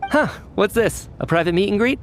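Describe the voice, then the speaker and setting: Game casting: playful young wisecracker, high light voice, slight background noise. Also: male voice, lively intonation, fairly quick. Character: breezy, joking young man, cheerful tease.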